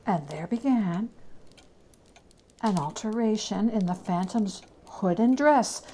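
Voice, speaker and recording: A woman storyteller giving a theatrical dramatic reading of a classic tale, savoring words with long pauses. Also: medium pitch, animated, mellow, a little hiss or hum.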